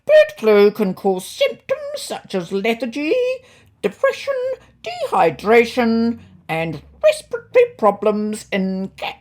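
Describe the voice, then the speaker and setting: Woman playing a pompous, drawn-out lecturing hen. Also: a little high, huge pitch swings, darkish tone, pitched above the performer's own voice, exaggerated voice. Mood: self-important, lecturing. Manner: pompous, slow, drawn-out delivery. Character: self-important expert hen lecturing on bird flu.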